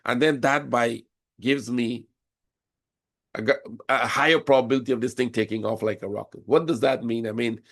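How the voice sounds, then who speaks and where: A man with a cold and a hoarse voice, explaining chart patterns step by step during a live trading session. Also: mid-range voice, fairly even intonation, normal speed, a bit bright. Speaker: forex trading instructor. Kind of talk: live trading room commentary.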